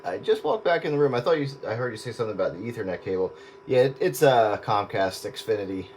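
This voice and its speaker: A man's voice: lowish voice, sing-song, quick pace. A man chatting casually over the radio, hesitant and wry, often searching for words. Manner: hesitant, self-deprecating, wry. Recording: radio audio with clear static.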